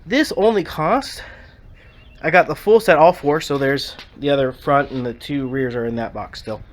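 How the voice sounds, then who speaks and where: A man getting over a cold, a little sniffly, explaining a car suspension job in a casual, halting way with many ums and restarts. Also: fairly high pitch. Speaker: car repair vlogger.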